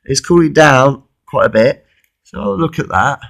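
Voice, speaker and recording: A man of thirty-one, losing his voice with a cold, gives an informal, halting weather forecast commentary and often repeats himself. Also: fairly high pitch, expressive, creaky, fairly bright tone. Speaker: amateur weather vlogger.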